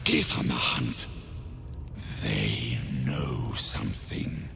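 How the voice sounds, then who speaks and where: An actor playing a brutish, impatient hobgoblin war leader in a video game, with a gravelly growl and rough, blunt, commanding speech. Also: male voice, rumbling low, extremely slow, music in the background, heavily acted. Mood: gruff, suspicious. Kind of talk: video game character acting.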